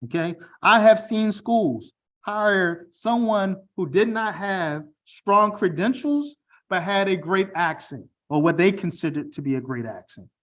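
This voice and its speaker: A man's voice: high-pitched, expressive, a little slow, fairly bright tone. A middle-aged man from Baltimore with a mostly standard American accent and a slight Baltimore tinge, giving an online information session in a direct, conversational way and often checking in with "okay?" and "all right?". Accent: General American, mild Baltimore. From Baltimore, United States. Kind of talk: online presentation. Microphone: video call.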